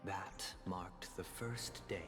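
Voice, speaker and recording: An actor playing a composed, grandly formal stage-play host and antagonist in a video game, with a measured, solemn delivery. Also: male voice, sits low, steady tone. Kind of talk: theatrical scripted game narration. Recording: long reverberant hall, music behind.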